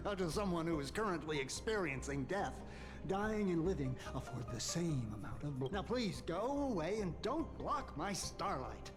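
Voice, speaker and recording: A man's voice: a little high, very animated, moderate pace. An actor playing an eccentric, crazed male scholar in a video game, theatrical and mocking, with music behind him. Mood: mocking, amused. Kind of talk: dramatic video game acting.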